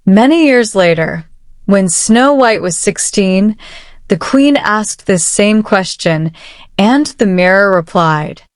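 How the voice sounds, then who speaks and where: A woman narrating a children's picture book aloud with measured, careful phrasing. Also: mid-range voice, lively intonation, no room sound, studio quality. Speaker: storybook narrator. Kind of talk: children's read-aloud storytelling. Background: soft music.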